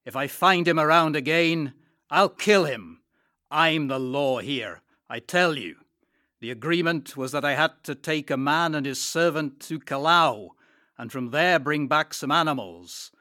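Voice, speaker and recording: A man's voice: on the high side, a little slow, sharp tone, dry recording, clean studio sound. A man voicing an angry, brutal male sea captain who snarls insults in a storybook reading. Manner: snarling, contemptuous.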